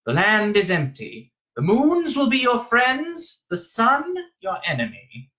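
A man voicing a stern old woman who speaks in slow, solemn, prophetic pronouncements. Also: very high voice, wildly swooping pitch, bright, forceful tone, pitched above the performer's own voice, heavily acted. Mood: ominous.

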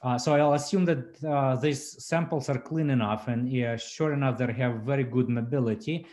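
A man's voice: mid-range voice, moderate pace, a little mellow. Man lecturing with many fillers and restarts. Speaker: physics lecturer. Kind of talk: academic seminar talk over video call. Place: home room indoors. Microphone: laptop webcam on video call.

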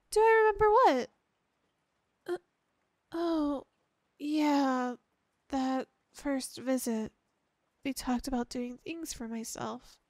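A woman acts out a young yandere girlfriend in an ASMR roleplay, with an extremely high, girlish voice. She speaks slowly and hesitantly, gushing with clingy, insecure devotion. The sound is dry and close, without room echo. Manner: gushing, hesitant, breaking off and restarting.

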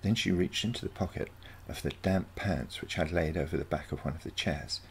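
A man's voice: low voice, brisk, gravelly, slight background noise. A man reading a short story aloud as scripted narration. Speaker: story reader.

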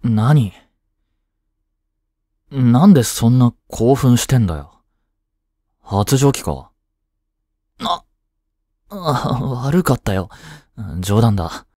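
A man's voice: medium pitch, animated, drawn-out words, pauses often, dark tone, no echo, studio quality. A young man playing a lovestruck, jealous schoolboy in a romantic voice drama, speaking casually with hesitations and sighs. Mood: teasing, then resolute. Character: lovestruck, jealous teenage schoolboy childhood friend. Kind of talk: Japanese romantic voice drama acting.

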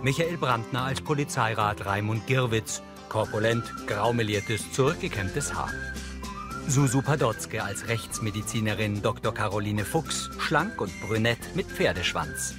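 A man reading scripted audio description in a measured, neutral way. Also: on the low side. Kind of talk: scripted narration. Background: background music.

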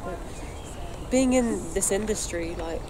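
An actress playing a friendly, self-doubting young singer-songwriter in casual, reflective stage dialogue. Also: female voice, medium pitch, slow, lightly acted. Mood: wistful, self-doubting. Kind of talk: acted naturalistic stage dialogue, conversational. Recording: noisy open-air location recording.

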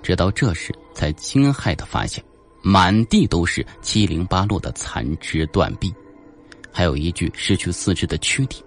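Deep gravelly guy doing dramatic audiobook narration, faint music behind. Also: lively intonation, normal speed. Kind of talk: dramatic scripted suspense novel narration.

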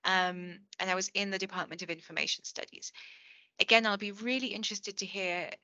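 A woman's voice: mid-range voice, fairly even intonation, fairly quick, pushed and bright, no echo, poor audio. A woman in her thirties giving a chatty online lecture over a video call, with plenty of fillers and self-corrections. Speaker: guest lecturer. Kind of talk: online presentation. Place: home room, on a video call.

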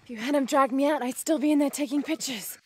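A woman's voice: sits high, quick pace, darkish tone, lightly acted. An actress playing a determined, scholarly young woman archaeologist in a naturalistic game cutscene, intent and thoughtful. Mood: annoyed. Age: young adult. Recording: music behind the voice.

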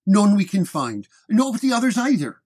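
A man voicing a gruff, hostile old-school New York police sergeant in an audio detective story, curt and sneering. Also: sits high, very animated, normal speed, a little mellow, pitched above the performer's own voice.

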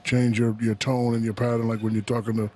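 A man studio analyst asking a quick, direct question. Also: medium pitch, level tone, darkish tone.

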